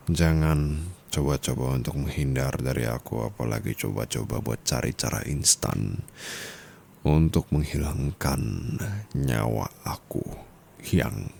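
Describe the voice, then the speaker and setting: A man's voice: slight background noise, full character voice. A man playing a dominant, teasing yet caring sugar-daddy husband in an intimate ASMR roleplay, murmuring and slipping into whispers. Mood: firm, caring. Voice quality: very deep, gravelly, husky. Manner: slow, teasing, soft murmurs dropping into whispers.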